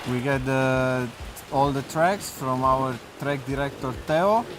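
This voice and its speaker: A man's voice: medium pitch, takes it slowly, heavy background noise. A man from Romania, a track manager, describing his job plainly step by step. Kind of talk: casual talk to camera.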